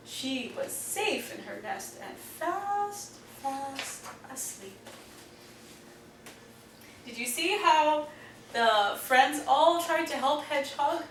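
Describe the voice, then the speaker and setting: High-pitched woman reading aloud, roomy and distant. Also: a bit bright. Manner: deliberate, addressing young children. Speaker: storyteller reading aloud to children. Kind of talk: expressive picture-book read-aloud. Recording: roomy, distant mic, faint noise.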